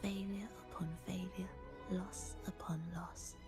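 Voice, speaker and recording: A slow, sorrowful, hushed woman. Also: lowish voice, little pitch movement, creaky. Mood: grieving, bitter. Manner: measured, solemn, hushed at times. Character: sorrowful, soft-spoken woman hardened by long loss and searching. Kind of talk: dramatic game voice acting. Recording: voice under prominent string music. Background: violin music.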